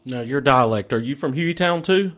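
A man asking casual interview questions in a folksy, halting way, restarting as he goes. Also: mid-range voice, lively intonation, fairly bright tone.

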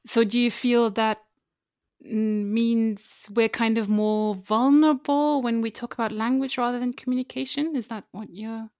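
A woman's voice: on the high side, fairly even intonation, normal speed, darkish tone, no echo, studio quality. Thoughtful woman with a German accent. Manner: thoughtful, hesitant, with many restarts. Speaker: guest. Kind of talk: reflective interview conversation.

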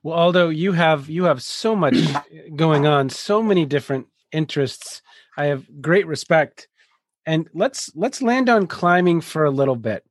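Man, podcast host, measured, earnest, slightly high voice, dry close sound. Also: animated, no room sound. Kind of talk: podcast interview.

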